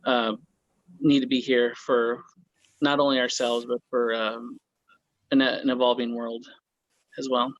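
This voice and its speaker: A man with an American accent talking casually and haltingly as a panelist, on dull lo-fi call audio. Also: medium pitch, level tone, dry recording. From California, United States. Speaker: roundtable panelist, theater performer. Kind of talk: casual roundtable talk.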